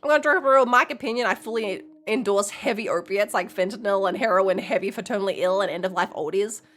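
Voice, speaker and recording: A woman's voice: medium pitch, fast talker, a bit bright. A young woman streaming a game, chatting casually, blunt and swearing, laughing often. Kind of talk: casual live-stream commentary.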